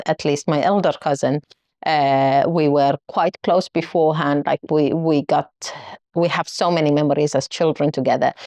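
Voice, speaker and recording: A woman's voice: sits low, darkish tone, no room sound. A woman from Finland who was raised in Kuwait, telling her life story in an interview, reflective and searching for her words.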